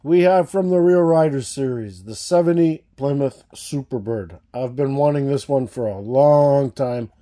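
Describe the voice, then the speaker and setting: Man, mellow voice, slight cough, chatty enthusiastic vlog host, casual unboxing. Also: mid-range voice, a little slow, few pauses. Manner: enthusiastic, chatty, addresses viewers as guys. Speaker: diecast collector channel host. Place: indoor room with shelves of toy cars.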